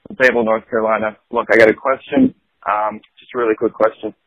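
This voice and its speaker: A man's voice: on the low side, wildly swooping pitch, fast talker, no room sound. A man leaving a casual voicemail message as a caller, heard through lo-fi phone audio.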